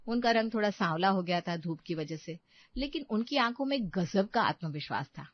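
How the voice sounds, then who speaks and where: A woman's voice: mid-range voice, lively intonation, normal speed, no room sound, dull audio. A woman narrating a scripted domestic story as a storyteller.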